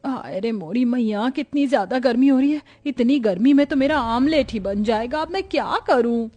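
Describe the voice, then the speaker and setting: A woman's voice: a little high, moderate pace, darkish tone, close to an everyday voice. A woman playing a naive, thrifty young village bride in a comic cartoon moral story. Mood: fretful.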